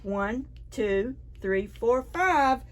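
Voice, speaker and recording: A woman presenting a library storytime, reading to preschoolers in a playful, deliberate way. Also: a little high, expressive.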